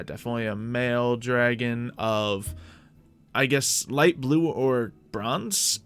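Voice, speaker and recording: A man's voice: mid-range voice, fairly bright tone. A man doing casual gameplay commentary, musing and thinking aloud as he talks through his choices. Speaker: host of a gaming video series.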